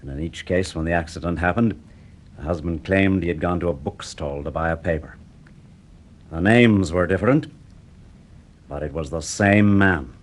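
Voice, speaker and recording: A police inspector in an old radio play, with a very low voice. He is steady and reassuring, setting things out methodically. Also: moderate pace, pauses often. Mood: grave explaining. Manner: soothing, methodical. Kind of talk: vintage BBC radio drama acting.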